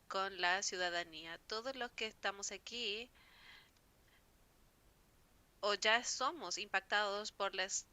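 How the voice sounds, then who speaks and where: Bright-voiced woman moderating a panel. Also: medium pitch. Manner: formal, reads prepared remarks with occasional slips. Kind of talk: conference panel introduction.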